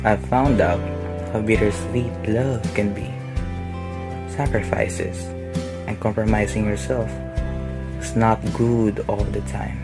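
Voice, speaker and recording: A man playing a bereaved, reflective narrator in a radio drama, speaking in a measured, solemn way. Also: lowish voice, creaky. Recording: guitar music under the voice.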